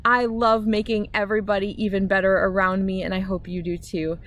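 A middle-aged American woman hosting a children's read-aloud, speaking directly to young listeners with expressive, clear phrasing. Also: medium pitch, a little fast. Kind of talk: children's picture-book read-aloud with friendly direct address.

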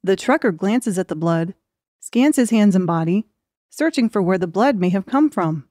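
Female audiobook narrator: medium pitch, scripted storytelling, dry studio-like sound. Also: lively intonation, normal speed, warm tone, no echo.

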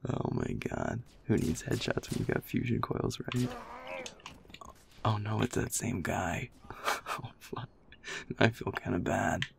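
A man's voice: lowish voice, measured pace, vocal fry, pauses often. A young man chatting casually as he plays a game, muttering, swearing and putting himself down. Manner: self-deprecating, muttering, often swearing. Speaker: gamer, audio creator. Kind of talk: casual chatter while playing a shooter game. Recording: noisy room with controller clicking. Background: game controller clicks.

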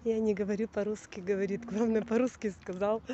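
A woman's voice: medium pitch, steady tone, fairly quick, dark tone. Chatty woman filming herself outdoors. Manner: chatty, laughing, exclamatory. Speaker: vlogger. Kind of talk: casual travel vlog. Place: outdoors in a city and indoors in a museum. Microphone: handheld camera at arm's length. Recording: dull lo-fi camera audio with street noise.

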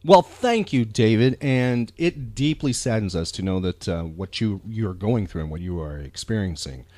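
A male podcast host talking casually and earnestly, with a lively, swinging pitch. He halts and repeats words as he works through his thoughts. The recording is close and dry. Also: normal speed, no echo. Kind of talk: casual talk show monologue.

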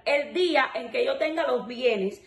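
A woman's voice: high voice, unhurried, echoey room. A woman in her twenties from Cuba, speaking firmly and earnestly in an on-camera interview indoors. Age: 20s. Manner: firm, earnest. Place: bright indoor room.

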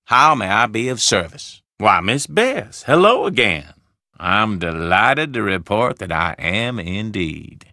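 A creaky, courtly old Southern gentleman. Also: on the low side, big pitch swings, no echo, studio quality, heavily acted. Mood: charming, delighted. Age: older. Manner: florid, courtly, drawn-out phrasing. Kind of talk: video game character dialogue.

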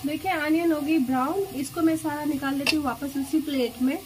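A woman's voice: sits high, steady tone, brisk. A woman hosting a cooking video, chatting through each step for her viewers in her home kitchen. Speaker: cooking channel host. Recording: noisy home recording.

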